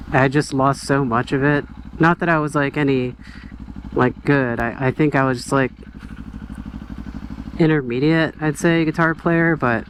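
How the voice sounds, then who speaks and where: A young man vlogging from his car, talking in a hesitant, rambling, reflective way full of fillers and restarts. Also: medium pitch. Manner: quiet, reflective. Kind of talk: casual venting while driving. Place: in a car on the road. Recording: road and engine noise behind.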